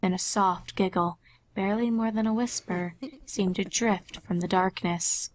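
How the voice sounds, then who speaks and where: A woman's voice: mid-range voice, steady tone, unhurried, dry recording, low-quality recording. A woman reading fan fiction aloud as a scripted story narrator.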